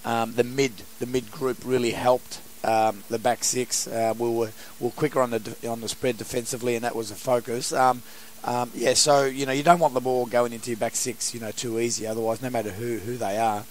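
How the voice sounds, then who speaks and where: Hoarse coach; halting answers after the game. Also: male voice, medium pitch, flat delivery, slight background noise, rough recording. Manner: halting, many restarts and fillers. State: hoarse, has lost his voice. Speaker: football coach. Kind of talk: post-match interview.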